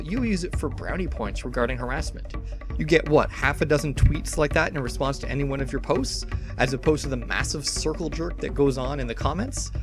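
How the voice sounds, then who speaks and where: A man's voice: mid-range voice, lightly acted. A man dramatically reading the part of a combative, exasperated online debater, argumentative, emphatic and sweary. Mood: irritated, emphatic.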